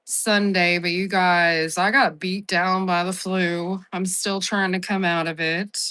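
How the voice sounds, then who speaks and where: A hoarse woman getting over the flu hosts a casual livestream from home. She talks in a chatty, friendly way with lots of ums and keeps apologizing for how her voice sounds. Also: fairly low pitch, level tone, a little slow, pushed and bright. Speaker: live-selling app host. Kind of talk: casual livestream seller-support chat.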